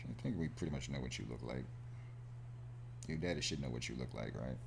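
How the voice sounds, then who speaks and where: A man's voice: extremely low pitch, brisk, creaky, noisy background. A man chatting casually as he works on his game art, muttering to himself and exclaiming in a self-deprecating way. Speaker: indie game developer and animator streaming his work. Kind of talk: casual devlog chat while drawing, thinking aloud.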